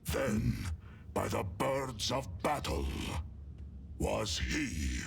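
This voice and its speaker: An actor voicing a huge, feral and proud space warrior in a video game, with a deep guttural rasp and slow, poetic, archaic declamation. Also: male voice, big pitch swings, slight background noise, exaggerated voice. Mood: solemn saga-telling.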